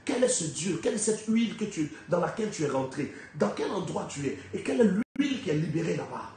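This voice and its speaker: A man with a fairly high voice and a head cold delivers a sermon, pressing his points with rhetorical questions. His delivery climbs to loud, high peaks and then drops low and quiet. The voice rings through a very echoey hall, with a faint hiss of noise behind it. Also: sing-song, fast. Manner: emphatic, rhetorical questions, big swings in pitch and loudness. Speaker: preacher.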